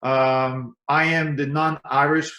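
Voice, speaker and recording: A man from the Netherlands with a Dutch accent and Dutch as his first language, presenting in a relaxed, friendly way on a webinar. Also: a little high, takes it slowly. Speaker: cybersecurity presenter. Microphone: video call mic. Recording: roomy call audio.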